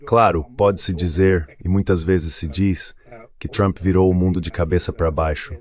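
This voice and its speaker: Very deep-voiced man, Brazilian Portuguese accent. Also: fairly even intonation, moderate pace, a little mellow. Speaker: dubbed translation voice of an interview guest. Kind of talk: translated political commentary, read voice-over.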